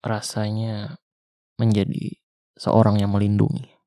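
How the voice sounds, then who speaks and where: A young man with a Jakarta slang accent playing a weary ex-soldier survivor of a zombie apocalypse, speaking softly and intimately in an ASMR roleplay. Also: lowish voice, monotone, no echo, studio quality. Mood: tender. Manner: soft, slow, intimate, half-whispered. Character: weary male ex-soldier survivor, tender protector. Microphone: close mic.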